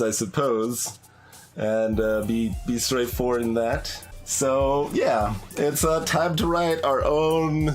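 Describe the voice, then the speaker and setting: A man streams a video game with music playing behind him. His voice sits at a middling pitch, and he talks in a casual, rambling way, thinking out loud as he plays. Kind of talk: casual playthrough commentary.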